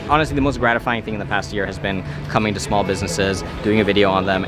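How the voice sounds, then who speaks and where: A young man from Romania with an American accent, chatting casually about food in an interview. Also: mid-range voice. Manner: relaxed, rambling with fillers. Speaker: food video creator.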